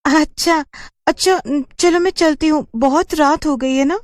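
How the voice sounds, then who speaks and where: A woman's voice: high voice, a little slow, dry recording, voice pushed up. A woman voicing a shy, earnest young village woman in a cartoon story. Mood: flustered. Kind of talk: Hindi horror cartoon character voice.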